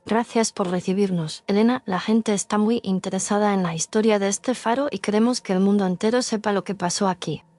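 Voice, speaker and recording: Actress as confident young ghost-hunting streamer. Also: female voice, mid-range voice, brisk, few pauses, darkish tone, dry recording, natural voice. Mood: polite, persuasive. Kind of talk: scripted horror audio drama.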